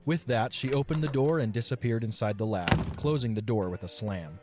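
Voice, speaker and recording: A man's voice: medium pitch, moderate pace. A man narrating a scripted fantasy audio story as a storyteller. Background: background music.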